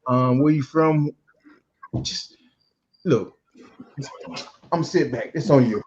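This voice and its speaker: A man's voice: medium pitch, takes it slowly, darkish tone, husky, muffled recording. A man co-hosting a podcast, talking loosely and repeating himself as he hands over to the guest. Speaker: podcast co-host. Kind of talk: casual podcast chat.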